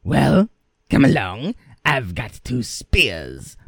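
A big, heavy storybook billy goat with an ugly, hoarse voice, put on by a male storyteller. The delivery is slow and defiant, threatening violence with broad swings of pitch. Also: wildly swooping pitch, dry recording, studio quality, full character voice.

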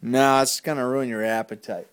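A father narrating a playful family skit, jumping into high, exaggerated questions as he plays along. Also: steady tone, normal speed, smooth, no echo, clean studio sound. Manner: jumps into high, exaggerated questions when voicing his lines. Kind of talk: playful home-video narration.

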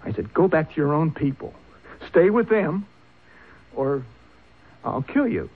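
An actor playing a cowardly, whimpering young son, stammering and nervous, in old-time radio drama style. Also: male voice, on the high side, sing-song, unhurried, breathy edge, exaggerated voice. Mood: agitated, defensive. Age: young adult. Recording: muffled phone-like old radio recording.

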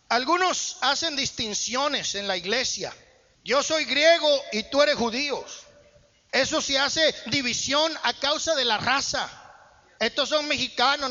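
A man's voice: very high-pitched, bright, forceful tone. A man preaching a sermon in a church hall, with emphatic rising bursts. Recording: muffled church hall echo.

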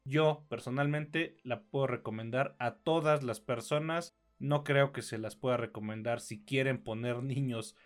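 A man's voice: medium pitch, steady tone, measured pace, hardly any pauses. A man with a Mexican accent chatting casually as he reviews films on a podcast, talking discursively with explanatory asides. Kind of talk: casual film review chat.

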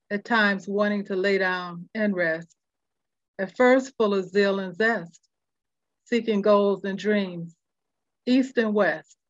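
Older woman slowly reciting poetry, dull recording. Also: medium pitch, no echo. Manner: measured, rhyming cadence in short phrases. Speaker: poet reading own work.

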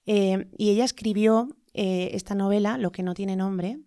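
A middle-aged woman with a Spanish accent talks reflectively as a guest, pausing often, filling gaps with "eh" and tag questions. Her voice sits at an average pitch for a woman. It is recorded dry and close, with no room sound. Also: fairly even intonation, mellow, no room sound. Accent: Spain Spanish. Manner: hesitant, many fillers and tag questions. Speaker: interview guest, lawyer. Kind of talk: reflective conversational interview.

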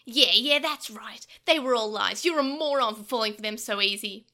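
A woman's voice: sits high, big pitch swings, moderate pace, sharp tone, voice pushed up, heavily acted. A woman voicing a small scheming male devil creature, a sly liar who ends up sneering and gloating.

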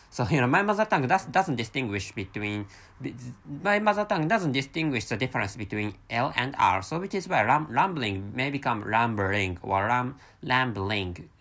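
A man's voice: medium pitch, fairly bright tone. A man in his thirties from Japan with a Japanese accent, hosting a rambling solo podcast in English, his second language, with many halts and restarts. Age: 30s. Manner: halting, many restarts and repeated words. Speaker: podcast host.

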